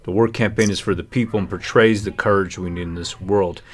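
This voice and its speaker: A man's voice: sits low, steady tone, a little fast. Rambling, jokey male livestream host. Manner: informal, repetitive, jokey rambling. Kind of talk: loose, joking casual chat.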